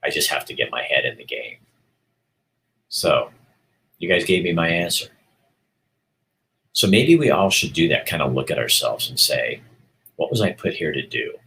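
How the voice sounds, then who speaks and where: A man's voice: a bass voice, lively intonation, normal speed, gravelly, pauses often, bright, forceful tone, a little hiss or hum. A middle-aged man from Oregon hosting a casual live stream, talking candidly to viewers and thinking aloud as he rambles. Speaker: show host.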